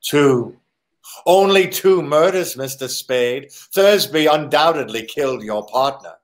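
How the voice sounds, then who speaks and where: An actor playing a prim, insinuating crook in a radio drama, with precise and formal wording. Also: male voice, on the high side, lively intonation, slow. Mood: prim, threatening. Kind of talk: old-time radio drama acting.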